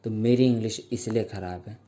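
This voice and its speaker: A young man performing a stand-up comedy set, telling stories with slow mock-grand stretches and playful sound effects. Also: on the low side, steady tone, warm tone.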